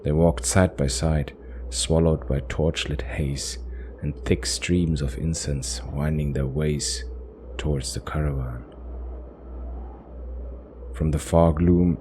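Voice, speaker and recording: Very deep, slow male fantasy narrator. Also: mellow, a little hiss or hum.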